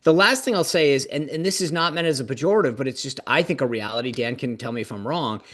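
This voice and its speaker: A man's voice: medium pitch, fast, fairly bright tone. A man on a political panel show, talking earnestly and restarting his phrases often. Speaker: political commentator. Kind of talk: panel discussion.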